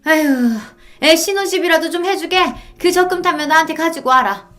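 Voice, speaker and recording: A woman's voice: very high-pitched, normal speed, a bit bright, soft background music, pitched above the performer's own voice. A woman voicing a domineering, shameless older mother-in-law who harangues and demands, in a dramatized story reading. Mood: demanding.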